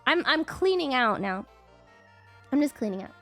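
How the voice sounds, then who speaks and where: A high-voiced woman sounds stuffed up from a cold as she rambles through a casual stream. She slides into whiny, playful protests, and soft music plays faintly behind her home desk mic. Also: sing-song, normal speed. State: congested with a cold. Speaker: livestreamer.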